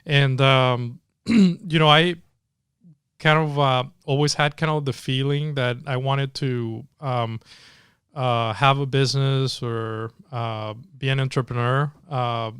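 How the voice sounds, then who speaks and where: A man's voice: mid-range voice, fairly even intonation, slow pace, fairly bright tone, clear, resonant tone, no room sound, clean studio sound. A man in his forties from Venezuela, a tech entrepreneur telling his story in a casual interview, hesitant with many fillers and restarts.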